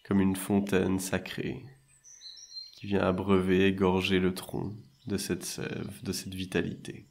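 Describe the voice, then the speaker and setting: A man guiding a meditation in a soothing, measured way, leaving long pauses between short phrases. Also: sits low, monotone, gravelly. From French-speaking Switzerland. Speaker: meditation and hypnosis guide. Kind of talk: guided meditation narration.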